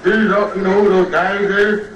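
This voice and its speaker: An actor playing a jaded, boozy old children's storyteller on a TV comedy sketch, halting, slurred and muddled, often trailing off. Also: male voice, high voice, flat delivery, distant mic, dull audio. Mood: boozy, indifferent. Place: TV studio living-room set. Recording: noisy, roomy old TV tape, off mic.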